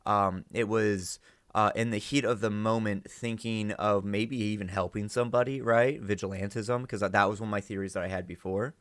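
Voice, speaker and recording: A man's voice: lowish voice, steady tone, moderate pace, fairly bright tone, no room sound, clean studio sound. A man hosting a reaction video with casual, rambling, informal commentary.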